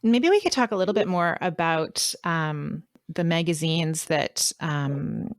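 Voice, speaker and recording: A woman's voice: deep voice, animated, a little slow, dry recording, studio quality. A woman hosting a podcast, interviewing conversationally with tentative, thoughtful phrasing. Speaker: podcast host.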